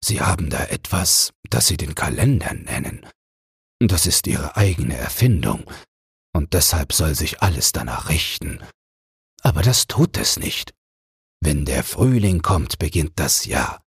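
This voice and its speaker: Mann spielt alten, heiseren, weisen Spatz. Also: male voice, extremely low pitch, sing-song, a little slow, creaky, slightly rough, dry recording, studio quality, exaggerated voice. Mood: knowing. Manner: wise, opinionated. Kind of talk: fairy-tale audiobook character voice.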